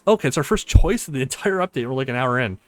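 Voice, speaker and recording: A man's voice: mid-range voice, animated, fast talker, no echo. A man casually playing through a visual novel, reading dialogue aloud and reacting readily with exclamations. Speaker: let's play streamer.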